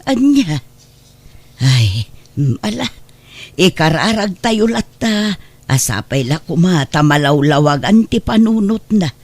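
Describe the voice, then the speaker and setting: A woman performs a confused old homeless woman in a radio drama. She speaks very slowly and gently, her pitch swooping as her wistful words wander. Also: very animated, no room sound, exaggerated voice. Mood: gentle, concerned. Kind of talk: Ilocano radio drama acting.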